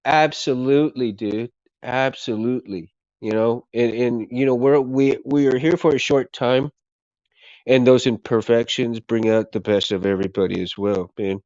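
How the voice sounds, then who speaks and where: A man's voice: mid-range voice, fairly even intonation, moderate pace, darkish tone, no echo, muffled recording. A man hosting a casual livestream who is losing his voice, rambling and repeating himself as he thanks his viewers. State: hoarse, losing his voice, coughing. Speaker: livestream host.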